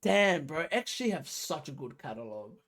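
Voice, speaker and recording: An excitable reaction host talking casually and exclaiming over a song, with mild swearing and a creaky voice. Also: mid-range voice, moderate pace. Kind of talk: casual music reaction commentary. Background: pop music playing.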